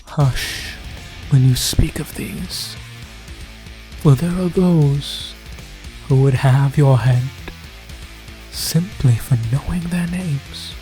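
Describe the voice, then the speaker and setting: Super slow spooky dramatic narrator, kinda whispery at times, grandiose lore storytelling, music playing behind. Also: medium pitch, poor audio. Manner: theatrical, drawn-out delivery with frequent hushed whispers. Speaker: narrator of a fantasy audio drama.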